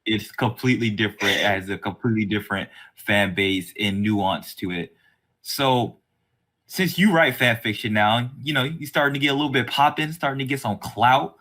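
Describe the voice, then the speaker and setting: A man's voice: medium pitch, normal speed, pushed and bright. A man hosting a podcast interview in a casual, conversational and slangy way. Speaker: podcast host.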